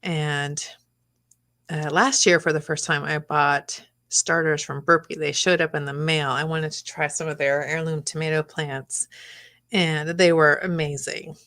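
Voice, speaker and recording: A woman's voice: sits low, level tone, unhurried, a bit bright. Chatty woman host crafting live. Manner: chatty, talks to her artwork, drops to whispers while working. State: losing her voice, clearing throat. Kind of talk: casual live-stream chat while crafting.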